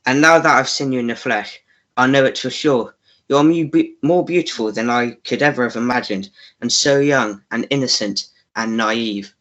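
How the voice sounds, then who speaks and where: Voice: medium pitch, moderate pace, fairly bright tone, lightly acted. An actor playing an oily, pushy male villain wooing in a melodramatic radio play, smarmy and domineering. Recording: dull lo-fi recording.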